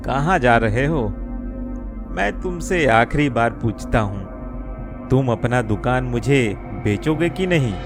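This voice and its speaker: A man's voice: medium pitch, animated, slow pace, music in the background. A man voicing a menacing, smug village thug in a cartoon moral story. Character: menacing village thug scheming to seize an old shop.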